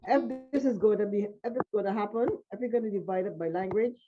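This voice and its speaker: A woman's voice: medium pitch, fast talker. A woman in a group discussion making a practical suggestion, direct and repeating herself to land the point. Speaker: meeting participant. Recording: dull lo-fi recording.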